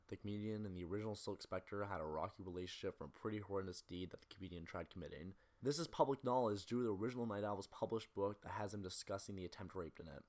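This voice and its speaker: Low voiced guy doing a scripted movie essay, talks quick, pretty opinionated. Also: a little mellow. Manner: critical, opinionated. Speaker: video essayist narrator. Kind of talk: scripted film analysis narration.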